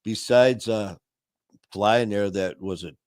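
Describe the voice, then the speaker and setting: A man hosting a casual live stream, talking warmly to viewers in a rambling way with many fillers and restarts. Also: on the low side, slow pace, no echo, clean studio sound. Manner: friendly and upbeat, addressing viewers. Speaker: livestream host.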